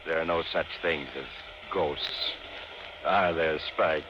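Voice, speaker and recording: A man's voice: rumbling low, fairly even intonation, slow. A man playing a mysterious, wry traveler who hosts a radio mystery, confiding, droll and courteous. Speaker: host. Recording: muffled noisy radio recording, train ambience.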